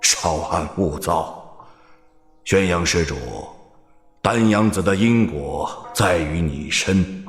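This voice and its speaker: An actor playing a solemn, quietly ominous old Buddhist abbot in an audio drama, measured and formal. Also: male voice, low-pitched. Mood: calm, grave. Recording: long echoing reverb, music behind.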